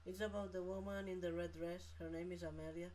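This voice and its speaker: A man's voice: high voice, flat delivery, darkish tone, slight background noise. Man chatting while he draws. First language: Catalan. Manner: halting non-native English with frequent laughs. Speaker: comic artist streaming. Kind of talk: casual livestream chat while drawing.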